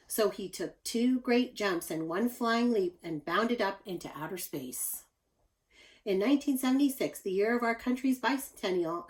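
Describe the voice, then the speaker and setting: A woman reads a picture book aloud to children in a mid-pitched voice. Her delivery is expressive and teacherly, and she speaks directly to her young listeners. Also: poor audio. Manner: animated, addresses young listeners with asides.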